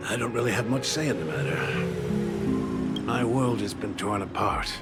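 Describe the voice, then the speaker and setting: An actor playing a courteous, wistful old war survivor in a dramatic video game, speaking in a reflective, measured way. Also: male voice, on the low side, lively intonation, lightly acted. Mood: wistful acceptance. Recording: loud music under the voice.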